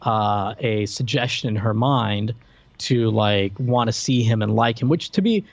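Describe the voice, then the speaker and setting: A man's voice: fairly low pitch, measured pace, creaky, no room sound, muffled recording. A man co-hosting a casual film discussion, recounting a plot in a rambling, conversational way full of "like". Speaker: podcast co-host.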